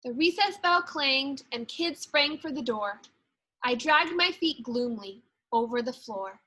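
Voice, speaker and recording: A woman who teaches second grade, reading a picture book aloud with expressive, deliberate storytelling and dramatic emphasis. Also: high-pitched.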